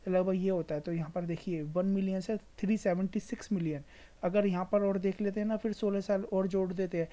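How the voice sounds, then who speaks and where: A woman's voice: mid-range voice, fairly even intonation, fairly quick, dark tone. A woman with an Indian accent hosting an informal explainer, advising emphatically with repetition and mixing in English words. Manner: mixes English words into speech. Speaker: stock market video host. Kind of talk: informal explainer over screen share.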